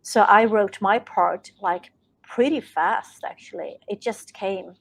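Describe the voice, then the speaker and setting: A Swedish woman speaks as an author guest in an interview, her English carrying a Swedish accent. She talks earnestly, circling back and restarting as she searches for words. Her pitch sits in an ordinary middle range. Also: slow pace, creaky, poor audio. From Sweden. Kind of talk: podcast interview.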